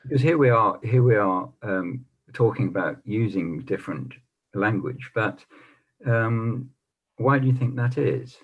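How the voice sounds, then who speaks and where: Casual: low slow guy moderating a video call panel, stammers a bit, muffled dull audio. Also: lively intonation, slightly rough. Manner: stammers, hesitant questions with fillers. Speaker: chair of the discussion.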